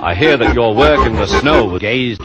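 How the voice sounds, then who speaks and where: A man voicing a stern, stately male railway boss who speaks in a measured, formal way. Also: medium pitch, animated, dull audio, natural voice. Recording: music playing under the voice.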